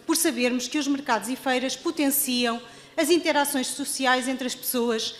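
A woman with a European Portuguese accent and a high voice, speaking as a deputy in a municipal assembly. Her delivery is formal and measured. The sound has the long reverb of a large council chamber. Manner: formal and measured, then more direct when restating her questions. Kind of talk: formal statement at a council meeting.

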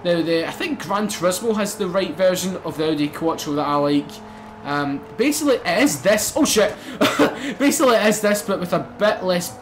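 A young man commentating a racing game let's play, excitable and swearing, bursting into loud exclamations. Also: high-pitched, moderate pace, a little hiss or hum. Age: young adult. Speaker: gaming channel host.

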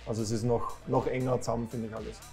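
An Austrian man in his thirties sits in a club café and answers interview questions. He sounds calm and unassuming, speaks at a middle pitch, and keeps his sentences plain. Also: rapid-fire, gravelly, over music. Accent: Austrian German. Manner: calm, modest, plain-spoken. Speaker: professional footballer, guest. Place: football club café with shelves, indoors.

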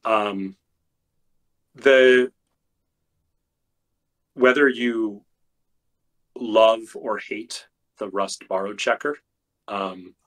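A man, a software engineer guest, explaining technical details thoughtfully with many fillers, his voice getting tired and worn. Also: fairly low pitch, steady tone, very slow, pauses often. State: losing his voice. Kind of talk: technical interview talk.

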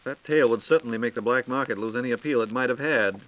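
A man's voice: medium pitch, fast talker, fairly bright tone, low-quality recording. An American man co-hosting a scripted 1940s radio program, putting questions in a conversational, prompting way. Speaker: radio co-host interviewer. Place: radio studio. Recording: 1940s radio transcription disc.

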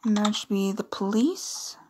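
A woman's voice: mid-range voice, a little slow, heavy background noise. A woman doing casual, playful gameplay commentary, reading on-screen dialogue aloud with chatty, amused asides. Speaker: gaming video creator.

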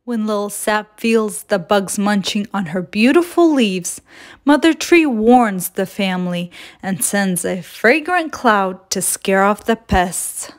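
Slow-paced woman reading a children's story. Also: medium pitch, animated. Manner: measured, deliberate storytelling. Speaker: children's storytime reader and host. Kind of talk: scripted read-aloud of a children's picture book.

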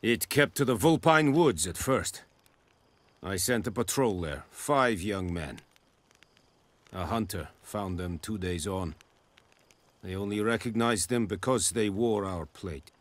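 A man playing a stern foreign army commander in a video game, speaking English as a second language in a formal, measured way. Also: fairly low pitch, natural voice. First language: not English. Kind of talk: video game dialogue acting.

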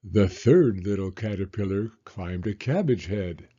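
Man, 60s, children's storyteller: low-pitched, raspy, measured delivery, slightly dull recording. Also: lively intonation, dark tone. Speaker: story reader and host, elementary school teacher. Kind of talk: children's picture-book read-aloud.

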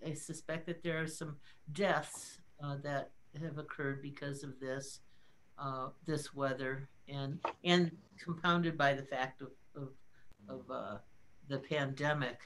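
An older woman, a city councilor, making halting, unscripted remarks in a formal meeting held by video call. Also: deep voice, a little hiss or hum. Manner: hesitant, halting. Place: small indoor office on a video call.

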